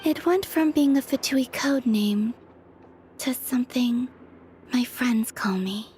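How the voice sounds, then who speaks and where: An actress playing a gentle, serene young moon maiden in a video game, breathy and whispery, with a tender delivery. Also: female voice, fairly high pitch, slow, faint music behind. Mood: tender, wistful.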